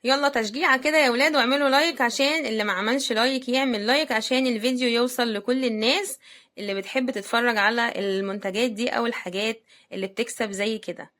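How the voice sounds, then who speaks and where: A woman with a slightly high voice and an Egyptian Arabic accent hosts an unboxing video. She talks in a casual, chatty way, addressing her viewers directly as she comments on products. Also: quick pace, fairly bright tone.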